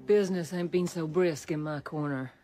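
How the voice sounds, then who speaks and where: A woman's voice: low voice, moderate pace, warm tone, faint music behind, lightly acted. An actress in a radio drama playing a tough, sly, flirtatious female gang boss. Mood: wry, tough.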